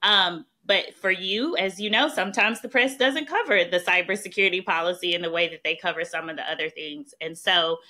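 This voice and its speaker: A woman with a strong Southern accent speaks in a lowish voice with a forceful, bright edge. She is a chatty podcast guest who tells her career story in a conversational way, dotted with "um" and "right?". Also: fairly quick, few pauses. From Mississippi, US. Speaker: podcast guest, policy expert. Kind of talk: conversational interview.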